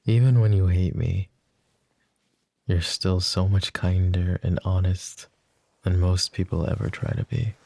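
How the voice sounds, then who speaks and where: A man voicing a lovesick, possessive young mafia boss in an ASMR roleplay, speaking softly and intimately, often close to a whisper. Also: sits low, little pitch movement, unhurried, gravelly, dry recording. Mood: tender, yearning. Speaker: voice actor.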